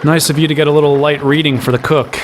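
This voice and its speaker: A man's voice: on the high side, level tone, quick pace, heavy background noise, natural voice. An actor playing a cocky, sarcastic young man in an audio drama. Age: young adult.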